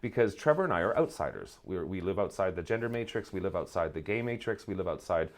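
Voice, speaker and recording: A man's voice: deep voice, expressive, fast. A middle-aged man giving reflective, articulate interview answers, emphatic but often searching for his words. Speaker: guest, playwright and drag performer.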